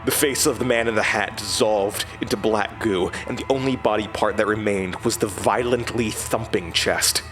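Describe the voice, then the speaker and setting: A man's voice: lowish voice, steady tone, moderate pace, hardly any pauses, music in the background, close to an everyday voice. An actor playing a troubled, haunted man, the uneasy lead and narrator of a horror audio drama. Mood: tense, haunted.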